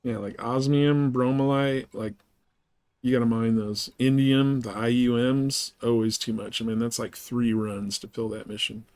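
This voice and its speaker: A man's voice: medium pitch, steady tone, a little slow, darkish tone, no room sound. A man hosting a live game stream, chatting casually with viewers and explaining things in colloquial language. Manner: colloquial, explanatory, giving advice. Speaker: livestream host.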